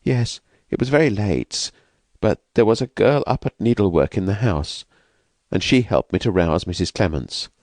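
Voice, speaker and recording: A man voicing a frightened, fragile young woman who has escaped from an asylum, speaking softly and hesitantly. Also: lowish voice, animated, moderate pace, dry recording, pitched above the performer's own voice. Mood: fearful, wistful. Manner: hesitant, vacant, soft-spoken.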